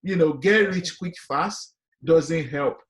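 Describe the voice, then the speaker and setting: A man's voice: on the high side, takes it slowly. A man with a Nigerian accent, speaking as a guest panelist in an earnest, motivational way, with many fillers and restarts. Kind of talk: casual webinar discussion.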